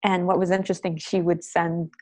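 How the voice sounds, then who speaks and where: A woman's voice: lowish voice, little pitch movement, a little fast, darkish tone, no echo. A woman from Haiti who hosts a podcast, speaking in an earnest, effusive way as she introduces the show and tells her story. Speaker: podcast host. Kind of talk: podcast intro and interview answers.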